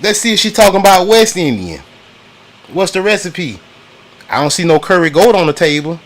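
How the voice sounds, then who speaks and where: A man's voice: high-pitched, lively intonation, brisk. A man with an American accent giving casual, opinionated reaction commentary on video clips, blunt, mocking and full of slang.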